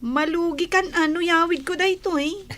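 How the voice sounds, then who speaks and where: Casting: actress as friendly, sweet young farm woman, Ilocano accent, high voice, radio drama. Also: fairly even intonation, normal speed, a bit bright. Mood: cheerful, grateful. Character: sweet, grateful young village woman picking beans.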